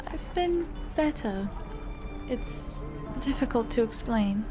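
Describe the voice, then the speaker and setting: A woman voicing a mild-mannered young elf bard, speaking softly and slowly in a higher voice. Game music sits behind her re-recorded lines. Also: expressive.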